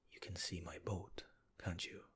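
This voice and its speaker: A man's voice: low voice, level tone, measured pace, slightly rough, heavily acted. A man whispering as a cheeky stranger by a yacht. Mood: teasing. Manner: soft whispered, gently teasing.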